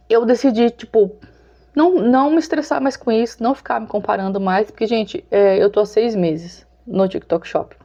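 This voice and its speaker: A woman's voice: a little high, mellow, lo-fi sound. A Brazilian woman in her forties talks casually and openly to her viewers, chatty and confiding, often laughing. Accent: Brazilian Portuguese. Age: 40s. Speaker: vlogger. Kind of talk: casual talk to camera.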